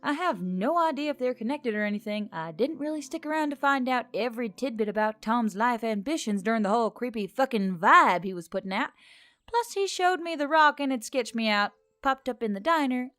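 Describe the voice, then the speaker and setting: A woman voicing a nervous, sharp-tongued young woman who talks in a casual, emphatic, slangy way in an audiobook story, with music behind. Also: a little high, lively intonation, a little fast. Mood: uneasy, exasperated. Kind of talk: audiobook character dialogue.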